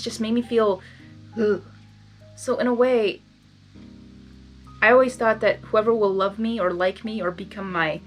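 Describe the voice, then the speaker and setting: A woman's voice: medium pitch, a bit bright. A woman reading a story aloud, with candid, rambling and informal personal asides. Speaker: fan fiction reader and commentator.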